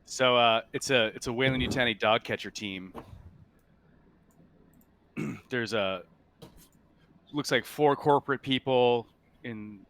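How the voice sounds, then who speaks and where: A man narrating a tabletop roleplaying game as game master, casually and in halting, improvised phrases. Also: mid-range voice, a bit bright.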